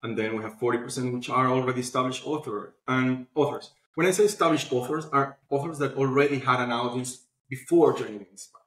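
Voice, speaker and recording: Guy being interviewed, echoey room, medium pitch, explains stuff casually. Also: brisk, few pauses. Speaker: podcast guest, company founder. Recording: roomy, echoing sound.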